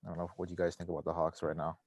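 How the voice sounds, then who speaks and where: Low-voiced easygoing young man, dry sound. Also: level tone, rapid-fire, a little mellow, husky, dry recording. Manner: easygoing, laughs often, reads stats loosely. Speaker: podcast co-host. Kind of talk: casual sports chat.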